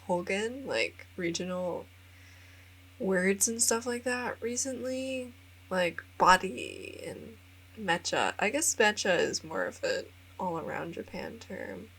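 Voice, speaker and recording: A woman's voice: mid-range voice, lively intonation, takes it slowly, fairly bright tone, breathy edge, low-quality recording. A young American woman in her twenties talking casually in a vlog voice-over. She rambles a little, says "like" often, laughs at herself and sounds upbeat and self-deprecating. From United States. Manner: chatty, rambling, many filler likes, laughs at herself. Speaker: vlogger and English teacher in Japan.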